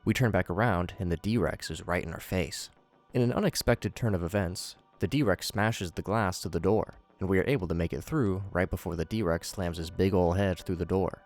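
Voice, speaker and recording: Low male voice, scripted recap narration, wry commentary, joking asides, background music. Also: normal speed.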